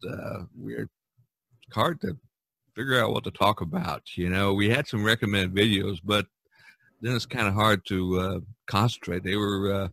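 A low-pitched man hosts a podcast over a video call. He rambles and pauses often, filling the gaps with "uh" and "um". The sound is dry and close. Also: creaky, fairly bright tone, no room sound. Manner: rambling, halting, many fillers. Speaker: podcast host. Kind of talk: casual video-call chat.

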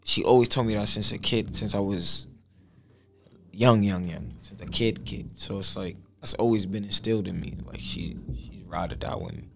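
Gravelly young rapper, laid-back. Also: male voice, lowish voice, normal speed. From Boston. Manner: laid-back slangy storytelling. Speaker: rapper guest. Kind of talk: casual podcast interview. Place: small studio with purple backdrop. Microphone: studio mic on boom arm.

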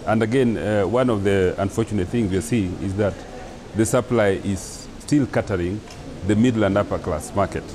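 A man with a fairly low voice speaks slowly and deliberately in an interview, pausing often on "uh". A steady crowd murmur is heard behind him. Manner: deliberate, hesitant with many "uh"s. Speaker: company founder.

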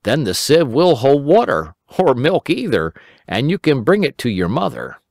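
A kindly old rabbit gentleman from a children's storybook, as a man puts him on, gentle and eager to help. His voice rises and falls with a lively sing-song. The sound is dry and close. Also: medium pitch, normal speed, creaky, dry recording, voice pushed up.

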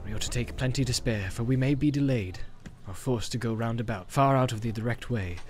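A man voicing a grave, practical ranger guide in an audiobook, measured and terse. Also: mid-range voice, faint music behind, close to an everyday voice. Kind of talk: dramatized audiobook acting.

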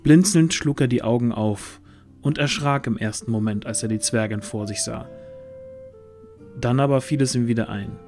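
Male storyteller over soft music. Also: mid-range voice, moderate pace. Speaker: narrator. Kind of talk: scripted storytelling narration.

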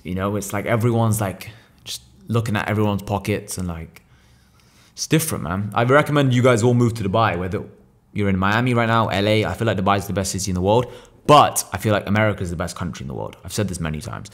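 A young English man talks fast and bluntly, cursing as he goes. His voice sits a little low, and he speaks into a boom mic at a table indoors. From England. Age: 20s. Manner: fast, blunt, swears freely. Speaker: podcast guest, business owner. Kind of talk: casual podcast conversation. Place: room seen, small room at a table indoors. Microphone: boom mic on an arm.

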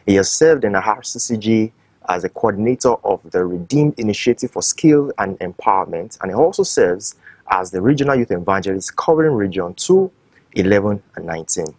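Male host, lowish husky voice, dry studio sound, formal interviewer. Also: animated, brisk, a little mellow, no echo. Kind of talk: scripted studio interview introduction.

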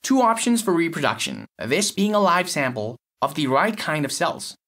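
A man acts out a cynical male lab technician for an audio short story. His voice sits a little high. He explains things bluntly and matter-of-factly, with a sardonic edge. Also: wildly swooping pitch, normal speed, a bit bright, heavily acted. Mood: blunt, dry.

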